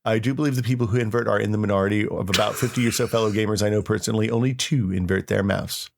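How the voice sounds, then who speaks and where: A man's voice: on the low side, fairly even intonation, very fast, gravelly. A middle-aged man co-hosting a podcast in casual, conversational tech chat. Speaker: podcast co-host.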